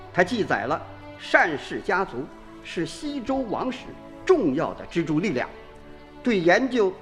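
An actor playing an earnest, learned museum director in a radio drama, with a formal, scholarly way of talking. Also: male voice, high-pitched, exaggerated voice. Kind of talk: Chinese radio drama acting. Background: music.

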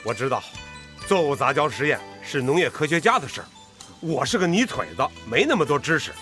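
A man's voice: on the high side, big pitch swings, a little fast, bright, forceful tone. An actor in a radio drama playing an earnest middle-aged farmer father, speaking in a heartfelt, folksy way. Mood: determined. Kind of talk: Chinese radio drama acting. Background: music.

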